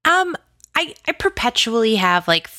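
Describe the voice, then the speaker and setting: A woman's voice: fairly high pitch, big pitch swings, unhurried, pushed and bright, no echo, clean studio sound. A woman speaking as an interview guest in casual, informal conversation, with slangy phrasing full of "like". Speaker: interview guest, audio drama writer.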